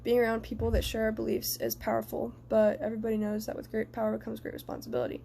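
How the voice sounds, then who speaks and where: A young woman from the United States giving a prepared personal reflection in a measured, earnest way. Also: on the high side, monotone, gravelly, dark tone, a little hiss or hum.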